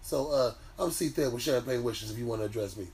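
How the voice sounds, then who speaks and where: A man's voice: mid-range voice, fast, darkish tone. An American man co-hosting a podcast with playful, slangy banter. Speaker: podcast co-host. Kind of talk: casual banter. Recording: slightly distant mic, faint noise.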